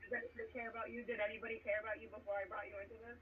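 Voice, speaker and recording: A woman's voice: on the high side, level tone, rapid-fire. Condescending woman arguing, muffled mic a bit far away, faint noise. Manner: condescending, pointed. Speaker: team advisor on a show. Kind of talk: confrontational show banter.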